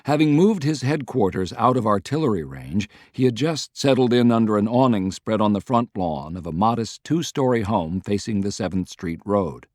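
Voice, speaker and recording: Low-pitched man narrating, dry studio sound. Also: expressive, normal speed, few pauses, darkish tone, no echo. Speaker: audiobook narrator. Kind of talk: scripted dramatic narration of a historical novel.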